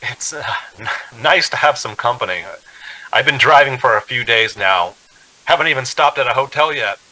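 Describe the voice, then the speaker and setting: Young male motorist, very sharp forceful voice, anxious, haunted, halting, old-time radio drama. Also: medium pitch, low-quality recording. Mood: nervous, halting. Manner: stammering, hesitant, tense. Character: haunted, anxious young man driving cross-country alone.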